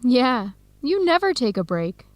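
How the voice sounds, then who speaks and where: An actress playing a warm, enthusiastic young American woman researcher in an educational radio drama. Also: fairly high pitch, sing-song, a little slow, no echo. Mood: friendly, curious.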